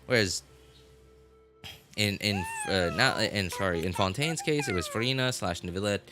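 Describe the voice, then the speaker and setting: A man's voice: lowish voice, wildly swooping pitch, normal speed, over music. A man giving casual, rambling livestream commentary, hesitantly thinking aloud and often rephrasing himself as he goes. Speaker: game livestreamer.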